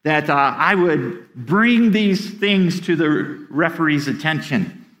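A man's voice: on the high side, expressive, slow, fairly bright tone. A middle-aged man preaching a sermon as pastor, earnest, often repeating words as he starts a sentence.

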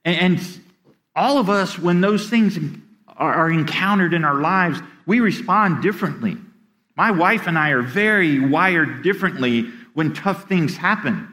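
A middle-aged pastor preaches with an earnest, plain delivery. His voice sits high for a man, and he often stumbles over and repeats the first word of a sentence as he builds his point. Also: lively intonation, a little slow, pushed and bright. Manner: earnest, repeats words when starting sentences. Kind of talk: sermon.

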